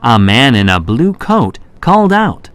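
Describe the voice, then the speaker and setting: An actor playing a curious, polite traveler who narrates his own children's story with expressive, clear phrasing. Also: mid-range voice, unhurried, dry recording. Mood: wondering, descriptive. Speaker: first-person narrator.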